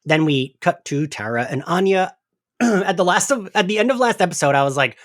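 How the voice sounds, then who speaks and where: A man's voice: high voice, animated, a little fast, a bit bright, no echo, studio quality. A man hosting a podcast in a casual recap discussion, chatty and hedging, often saying "like". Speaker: podcast host.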